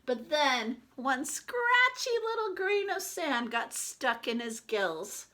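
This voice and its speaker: Bright, high-voiced woman reading a storybook. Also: big pitch swings. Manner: deliberate, dramatic emphasis with asides to listeners. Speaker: teacher reading a picture book aloud. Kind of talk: expressive children's storybook read-aloud.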